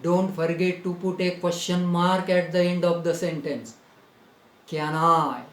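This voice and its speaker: An Indian English-accented man teaches grammar in slow, deliberate dictation. He spells words out one letter at a time and repeats each phrase. His fairly high voice rings in an echoey room. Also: fairly even intonation, fairly bright tone. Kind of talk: grammar lesson.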